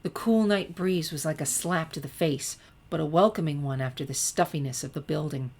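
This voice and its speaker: A woman narrator with a low-pitched voice reads fiction aloud. Her delivery is scripted story narration, told in the manner of an audiobook. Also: normal speed, darkish tone. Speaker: narrator, fan fiction reader.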